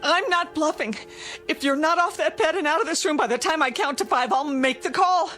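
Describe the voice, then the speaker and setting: A woman's voice: high-pitched, fairly quick, pushed and bright, faint music behind. An actress playing a frightened but defiant woman confronting an intruder, alarmed and indignant, in a suspense drama. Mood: defiant warning.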